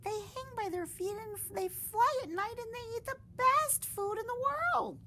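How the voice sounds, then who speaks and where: A woman voicing a shy, earnest young female fruit bat in a high childlike voice. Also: moderate pace, sharp tone, a little hiss or hum. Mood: excited.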